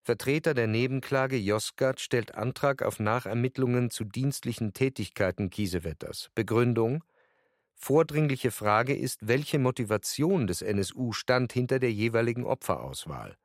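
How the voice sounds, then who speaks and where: A man's voice: mid-range voice, animated, normal speed, dry recording, clean studio sound, natural voice. An actor playing a sober male courtroom speaker in a documentary radio drama, with formal, factual delivery. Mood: formal, insistent. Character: sober male courtroom witness and lawyer reading testimony.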